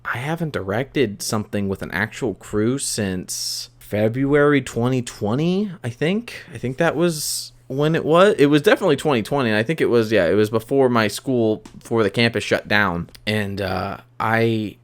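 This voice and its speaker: A young man hosting a solo podcast, talking candidly and confessionally in a halting, self-reflective way that often doubles back on phrases. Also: mid-range voice. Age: young adult.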